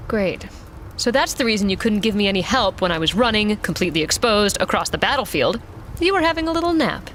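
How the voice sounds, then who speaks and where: A woman's voice: medium pitch, animated, fairly quick, fairly bright tone, a little hiss or hum, natural voice. A woman playing a weary, sardonic mercenary pilot in an audio drama, talking in a blunt, casual way. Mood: sarcastic, irritated.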